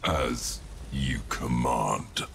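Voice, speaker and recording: A man playing a cold, calculating warlord of a dark fleet in a game cutscene, speaking in a measured, imperious way. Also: rumbling low, steady tone, creaky, a little hiss or hum, full character voice. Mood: grim, measured. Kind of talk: dramatic video game cutscene acting. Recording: music under the voice.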